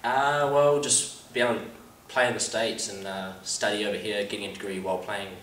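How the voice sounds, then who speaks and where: A young man with a New Zealand accent speaks in a lowish, level voice that barely rises or falls. His answers stay upbeat, and he keeps leaning on the same words. The recording is far off and echoey. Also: fairly even intonation, fairly quick, a bit bright, slight background noise. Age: young adult. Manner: upbeat, repeats "definitely". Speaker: college soccer player. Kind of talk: interview answers. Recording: echoey room, mic far off.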